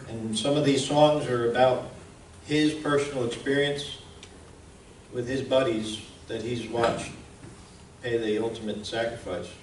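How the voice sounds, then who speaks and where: A man's voice: medium pitch, steady tone, a little slow. A man giving an informal slide presentation to an audience as the presenter. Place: indoor room at a live presentation. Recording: echoey room, distant mic, faint noise.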